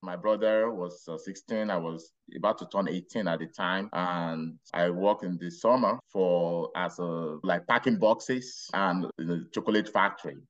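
A middle-aged man from Nigeria with a Nigerian accent, telling his life story as a podcast guest in a relaxed, rambling way. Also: deep voice, highs cut off. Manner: unhurried, rambling with restarts and laughs. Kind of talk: casual interview storytelling.

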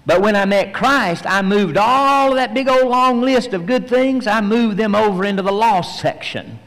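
A man preaching a verse-by-verse sermon, teaching slowly and with emphasis, pausing often with fillers. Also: high voice, a bit bright. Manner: slow, emphatic teaching with frequent pauses and fillers. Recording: long hall reverb.

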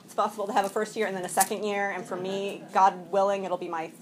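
Nervous, halting woman speaking to a group. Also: mid-range voice, level tone, a little hiss or hum, low-quality recording. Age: around 40. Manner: halting, many fillers and restarts, laughs often. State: slightly sniffly from a cold. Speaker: recovery meeting speaker. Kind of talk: personal share at a support meeting. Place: meeting room with a group.

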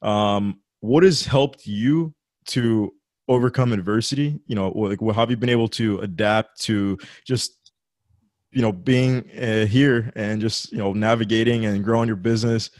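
A man's voice: lowish voice, steady tone, moderate pace, a little mellow, no echo, clean studio sound. A man hosting a casual interview, encouraging his guest and affirming him, with plenty of "uh" and "you know". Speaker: interviewer host.

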